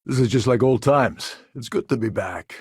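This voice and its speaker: A man playing a roguish, wry starship captain and ex-pirate in a video game, a sardonic schemer with a gravelly, husky voice. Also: mid-range voice, moderate pace, darkish tone, no room sound, studio quality, close to an everyday voice. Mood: guarded, smooth. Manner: sardonic, smooth-talking schemer. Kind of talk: video game character dialogue.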